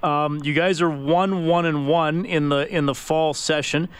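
A man's voice: a little high, fairly even intonation, measured pace, clean tone. A man hosting a sports radio interview, often repeating words as he asks questions. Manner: stammers and repeats words while asking questions.

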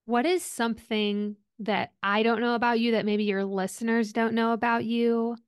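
A woman's voice: a little high, little pitch movement, moderate pace, smooth, no room sound, studio quality. A woman interviewing a podcast guest in a casual, chatty, keenly interested way.

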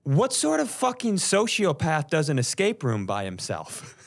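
Animated, higher-pitched male podcast co-host. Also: a little slow, vocal fry. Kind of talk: bantering casual chat.

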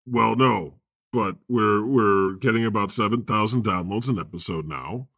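A man's voice: on the low side, normal speed, fairly bright tone, no echo, studio quality. A man hosting a comedy podcast in casual, joking chat with a teasing, wry manner.

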